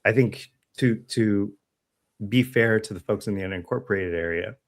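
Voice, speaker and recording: Halting American man with a low voice. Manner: halting, measured, frequent throat clearing. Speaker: podcast co-host. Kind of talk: casual local news discussion.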